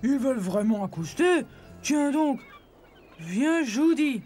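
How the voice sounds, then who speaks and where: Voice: high voice, big pitch swings, slow pace, darkish tone, full character voice. An actor voicing a touchy polar bear who hates the cold, grumbling dryly in a dubbed cartoon. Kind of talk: dubbed low-budget cartoon dialogue. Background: faint music.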